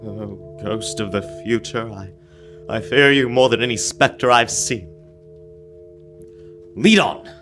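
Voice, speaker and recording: A young man playing a frightened, repentant old miser in a radio drama, halting and theatrical as he pleads. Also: on the low side, over music. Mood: fearful, halting.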